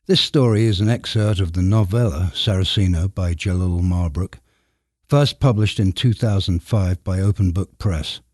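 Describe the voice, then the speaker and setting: A man's voice: low-pitched, normal speed, darkish tone, dry recording. A man hosting a podcast, introducing and interviewing in a scripted style from a studio.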